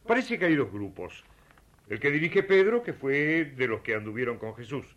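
A man's voice: mid-range voice, very animated, normal speed, fairly bright tone, muffled recording. An Argentine man playing a zealous, hot-headed religious persecutor in radio theatre, emphatic and accusatory. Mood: scornful, conspiratorial.

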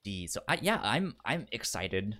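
A man chatting casually as a podcast co-host, stuttering on word onsets and often repeating words and syllables in a halting way. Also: fairly low pitch, very animated, pushed and bright.

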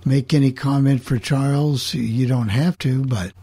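A man with a husky voice, casually moderating a group conversation. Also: medium pitch, fairly even intonation, measured pace, mellow, dry recording.

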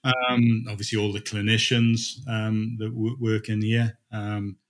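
A man's voice: fairly low pitch, flat delivery, unhurried. A middle-aged man speaking as a manager in an informal interview, thinking aloud and repeating words as he goes. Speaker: dispatch manager being interviewed.

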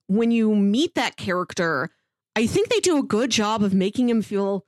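A woman's voice: mid-range voice, expressive, normal speed, a little mellow, no echo, studio quality. A woman talking casually and thoughtfully as a podcast co-host, reviewing a story in conversation.

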